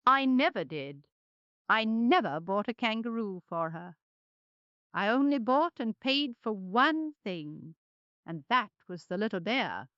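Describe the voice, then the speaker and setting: A woman plays a fond grandmother in an audio drama. The voice is fairly high and swings widely in pitch. The recording is dry, with slightly dulled sound. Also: sing-song, unhurried, a bit bright, no room sound, highs cut off. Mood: fond, recounting. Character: fond grandmother writing about her travels and granddaughter. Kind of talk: dramatized letter reading.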